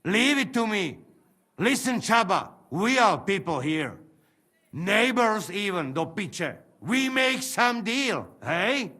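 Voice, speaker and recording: A man's voice: sits high, very animated, takes it slowly, sharp tone, full character voice. An actor playing a brash male mercenary who speaks broken English, coaxing and persuasive in a video game cutscene.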